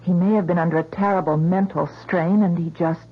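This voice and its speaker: A woman's voice: on the low side, fairly even intonation, a little fast, lightly acted. An actress playing a skeptical, sensible woman in a 1970s radio drama, with a questioning, theatrical delivery. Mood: skeptical, composed. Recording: dull vintage radio recording.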